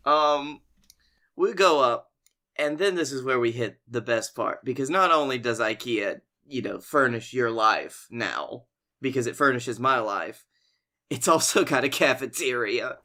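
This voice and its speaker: A man's voice: mid-range voice, expressive, a little slow, bright, forceful tone. A man with an American accent telling casual, rambling comic stories as a podcast co-host, swearing freely as he goes. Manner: rambling, profane, conversational. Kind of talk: casual comic storytelling chat.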